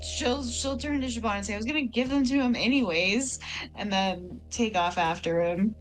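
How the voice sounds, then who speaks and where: A woman's voice: on the high side, normal speed, faint music behind, poor audio. A woman playing a tabletop game, casually describing her character's actions.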